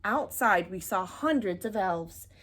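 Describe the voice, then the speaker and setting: A woman narrates a children's story from a book. Her voice sits at a middle pitch, and she reads aloud expressively, as for young listeners. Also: lively intonation, unhurried, fairly bright tone. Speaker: storybook reader.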